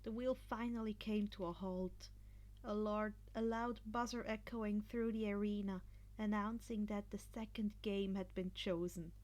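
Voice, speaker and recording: A woman's voice: medium pitch, steady tone, measured pace, darkish tone. A woman narrating fan fiction as an amateur audiobook-style reader, telling a scripted story.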